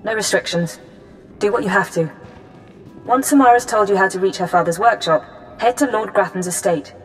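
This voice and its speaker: An actress playing a cool, businesslike female intelligence handler in a video game, giving briefings with crisp control. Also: medium pitch, normal speed, close to an everyday voice, rough recording. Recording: music under the voice.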